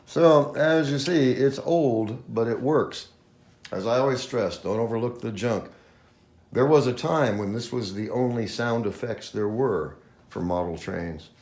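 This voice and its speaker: A man's voice: mid-range voice, measured pace, a little mellow. Roomy-sounding man presenting a casual hobby video. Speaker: host of a model train collecting channel.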